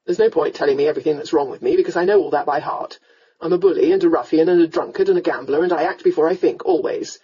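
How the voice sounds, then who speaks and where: A woman's voice: low-pitched, expressive, moderate pace, warm tone, slightly rough, highs cut off. A woman voicing a blunt, commanding, hot-tempered yet tender young aristocratic rake in a romance audiobook. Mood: heated.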